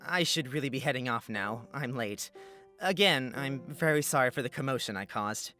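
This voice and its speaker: A timid young fantasy hero voiced by a woman, lowered in pitch but swinging widely as he speaks. He is hesitant, polite and easily flustered, stammering apologies like a visual novel protagonist over music. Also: animated, moderate pace, fairly bright tone. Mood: flustered apology. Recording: background music under voice.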